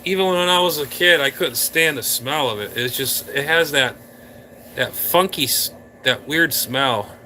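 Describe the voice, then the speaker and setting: Bright-voiced man chatting to his live viewers. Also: on the high side, a little slow, a little hiss or hum. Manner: rambling, playful, talks straight to viewers. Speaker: live stream host. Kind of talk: casual live stream chat. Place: indoor room.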